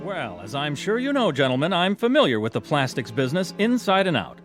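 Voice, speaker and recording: A boastful young ne'er-do-well in a radio drama. His voice sits fairly high and leaps about with great animation, glib and affected, as if always performing for the club. Music plays lightly underneath. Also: very animated, brisk. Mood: boastful, scornful. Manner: affected, garrulous, theatrical.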